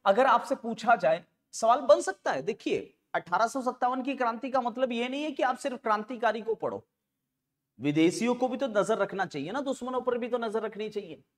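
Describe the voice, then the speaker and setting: A man in his forties with a sore throat, lecturing students deliberately and repeating key phrases as he teaches on a lapel mic. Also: extremely high pitch, animated. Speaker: history teacher for exam students. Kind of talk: classroom lecture with asides to students. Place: indoor studio classroom at a green board. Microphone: clip-on lapel mic.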